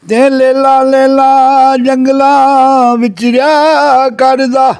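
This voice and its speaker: A man with a Punjabi accent recites folk verse as a sung chant. His voice is high, smooth and resonant. He moves extremely slowly, stretching out the end of each line. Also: flat delivery, a little mellow. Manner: chanting, melodic, with long drawn-out line endings.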